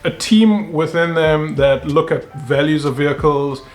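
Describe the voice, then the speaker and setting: A man's voice: on the high side, fairly even intonation, measured pace, soft background music. A South African man in his thirties chatting casually about cars as a host. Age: 30s. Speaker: game show host and car YouTuber.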